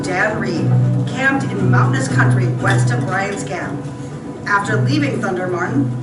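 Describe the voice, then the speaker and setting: A woman announces and narrates an old-time radio western in a slightly high voice. Loud music plays, and the stage sounds echoey. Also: recorded from a distance.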